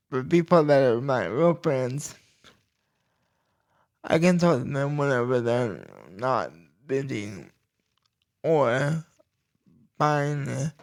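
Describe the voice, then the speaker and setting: A young man hosting his own podcast, talking slowly and deliberately about everyday life, with pauses and restarts. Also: medium pitch, vocal fry. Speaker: podcast host. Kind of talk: casual personal talk.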